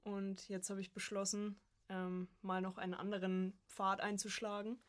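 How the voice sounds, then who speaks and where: A woman's voice: medium pitch, flat delivery, moderate pace. A woman in her early twenties from Germany, talking informally as a podcast guest about herself. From Coburg, Germany. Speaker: podcast guest, voice acting student. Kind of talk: casual chat, personal anecdotes.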